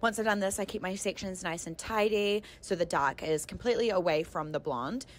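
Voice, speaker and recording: A woman's voice: fairly low pitch, fairly quick. A young woman giving a casual voice-over tutorial as a hair colourist, chatty and explanatory in an informal way.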